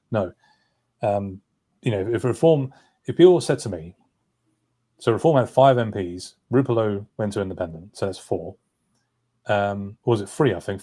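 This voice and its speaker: Fast-talking man, rambling commentary. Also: lowish voice, warm tone. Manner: talkative, runs on with asides and self-corrections. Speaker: guest commentator. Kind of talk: political discussion.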